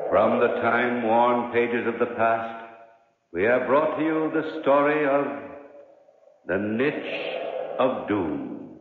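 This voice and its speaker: A theatrical male announcer from vintage 1940s radio. He intones solemnly with wide swings of pitch in a huge reverberant space. The recording is muffled and noisy. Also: lively intonation, takes it slowly.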